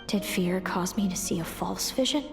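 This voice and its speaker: A woman playing a solemn, fatalistic young seeress in a video game, speaking in a measured, brooding, formal way. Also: mid-range voice, creaky, music in the background, close to an everyday voice. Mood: grave, troubled. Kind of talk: dramatic video game voice acting.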